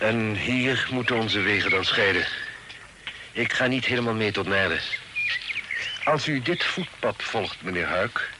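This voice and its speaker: An actor in a radio play voicing a grave, secretive father with a formal, solemn, measured delivery. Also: lowish voice, lively intonation. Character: grave, secretive father of a grown daughter, hunted. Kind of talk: Dutch radio play acting. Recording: very noisy old radio recording, clicks.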